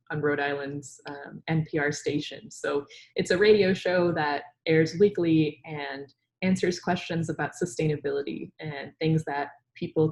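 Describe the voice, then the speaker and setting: A woman's voice: low-pitched, a little mellow. A young woman, a native Urdu speaker, answering interview questions on a video call with an upbeat, storytelling manner and frequent ums. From Pakistan. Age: young adult. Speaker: guest scientist. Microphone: headset on video call.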